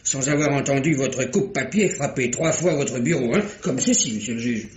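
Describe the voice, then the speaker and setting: An actor playing a deferential, meticulous court clerk with a fussy manner in a radio mystery drama. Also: male voice, mid-range voice, fairly quick, reverberant, noisy background, lightly acted. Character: obsequious, meticulous court clerk serving a magistrate. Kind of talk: 1950s French radio mystery acting.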